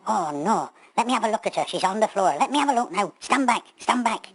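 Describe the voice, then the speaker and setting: A woman's voice: medium pitch, expressive, quick pace, husky, dry recording. A woman voicing a flustered, kindly male doctor in a pantomime story, exclamatory and repeating himself. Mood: worried, fussing.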